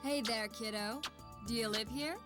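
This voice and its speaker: A woman's voice: fairly high pitch, moderate pace, lightly acted. A woman voicing a cocky, easygoing young thief in a video game, breezy and teasing. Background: game music.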